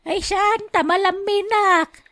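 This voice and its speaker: A woman acting a frail, stubborn elderly grandmother in a radio drama, with a drawn-out, complaining delivery. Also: very high-pitched, fairly even intonation, sharp tone, exaggerated voice. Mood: grumbling.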